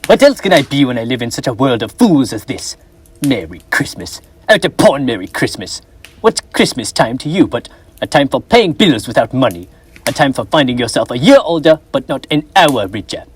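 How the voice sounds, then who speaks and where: A young man acting as a miserly, bitter old man in a school stage play, gruff and contemptuous. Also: mid-range voice, very animated, normal speed, a little hiss or hum, lightly acted. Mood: scornful. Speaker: stage actor.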